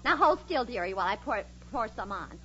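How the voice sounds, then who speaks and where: A woman's voice: medium pitch, big pitch swings, brisk, sharp tone. An actress playing a cynical, man-hungry hairdresser with brassy wisecracks in a 1940s radio comedy. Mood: breezy. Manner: brassy wisecracks, calls everyone dearie. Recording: muffled noisy old radio recording, studio audience.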